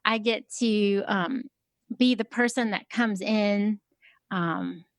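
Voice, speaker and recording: A woman with a high voice talking casually as a podcast guest, soft-spoken and hesitant, with many fillers. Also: slow, no room sound, studio quality. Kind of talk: casual conversation.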